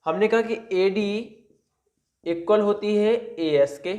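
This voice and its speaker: A man teaching a commerce lesson. He reads out definitions slowly, then explains them step by step and speaks straight to his students. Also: high-pitched, darkish tone, reverberant. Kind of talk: classroom lecture.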